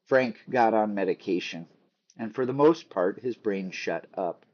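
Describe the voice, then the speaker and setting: Male narrator, low and mellow, measured book read-aloud with dry wit, slightly dull recording. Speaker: author reading a book aloud.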